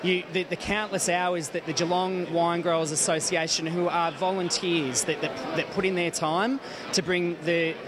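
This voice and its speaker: A man giving halting interview answers, restarting his phrases as he goes. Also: high voice, steady tone. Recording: crowd noise at busy event. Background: clear crowd noise.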